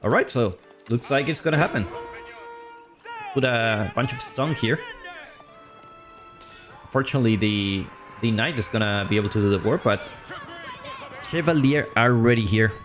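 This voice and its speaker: A man streaming live commentary on a strategy game match. He is chatty and loose, and his voice leaps up on big plays before settling back to calm explaining. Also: normal speed, pauses often, faint music behind. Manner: excitable, voice jumps high on big plays, then calm explaining; loose and chatty, with fillers and restarts.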